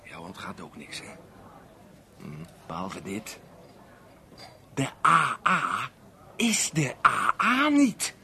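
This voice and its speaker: An actor playing a drunk, aggrieved unemployed man rambling haltingly at a bar in a radio play. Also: fairly low pitch, huge pitch swings, gravelly, sharp tone, breathy edge, heavily acted. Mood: tipsy, grumbling. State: drunk (acted). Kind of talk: Dutch radio play monologue and dialogue.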